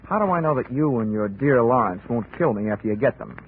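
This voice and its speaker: A man's voice: mid-range voice, lively intonation, fast, slightly rough. A man playing a cynical, wisecracking hard-boiled investigator in 1940s radio drama style, with slangy, clipped delivery. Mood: sardonic, needling. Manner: sardonic, slangy, clipped tough-guy delivery. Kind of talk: 1940s radio detective drama acting and narration. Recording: tinny old-time radio recording with music.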